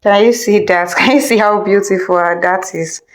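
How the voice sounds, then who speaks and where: A woman's voice: mid-range voice, moderate pace. A woman instructor giving an informal step-by-step tutorial, repeating instructions and checking in with listeners. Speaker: video editing instructor.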